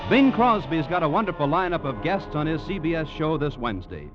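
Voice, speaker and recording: A man's voice: a little high, big pitch swings, a little fast, over music. A man with an American accent announcing in a polished, formal 1940s radio style. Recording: muffled, dry vintage radio recording.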